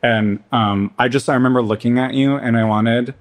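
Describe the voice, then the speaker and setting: A man co-hosting a podcast in casual chat, telling stories with sassy, theatrical flair. His voice sits at a middling pitch, and he throws in exaggerated voices when quoting others. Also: fairly even intonation, moderate pace. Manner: sassy, dramatic retelling with mock voices. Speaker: podcast co-host.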